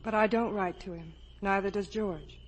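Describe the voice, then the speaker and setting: An actress playing an earnest, wounded young woman with quiet firmness in a 1950s radio drama. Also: mid-range voice, moderate pace, breathy edge, natural voice, low-quality recording. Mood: firm, hurt. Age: young adult. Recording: old radio broadcast, faint noise.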